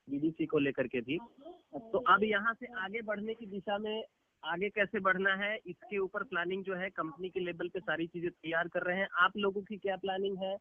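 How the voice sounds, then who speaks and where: High-pitched man on a tinny phone line. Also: dry recording. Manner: explanatory, formal, mixes English business terms into Hindi. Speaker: company chief executive. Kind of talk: business briefing on a phone call. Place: phone call. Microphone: telephone. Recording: narrow, tinny phone call recording.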